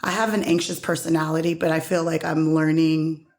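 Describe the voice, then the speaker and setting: A woman in her forties with a loud voice, chatting excitably as a podcast host. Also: low-pitched, a little fast. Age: 40s. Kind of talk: casual group chat. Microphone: podcast microphone. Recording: roomy echo around the mic.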